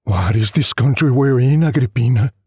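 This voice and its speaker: A man playing a weary, bewildered husband and father in a story, questioning his wife in a husky, tired voice. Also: mid-range voice, moderate pace, dark tone, dry recording, studio quality. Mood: bewildered, urgent.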